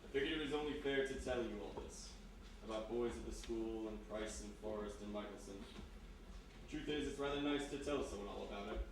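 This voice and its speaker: Man playing low-voiced sinister hypnotist, roomy sound. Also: close to an everyday voice. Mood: calm, confiding. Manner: measured, insinuating, polite, deliberate. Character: sinister soft-spoken male hypnotist, calmly menacing, courteous. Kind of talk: live 1940s-style radio mystery acting. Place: live performance in a historic house room. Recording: roomy distant live recording, background noise.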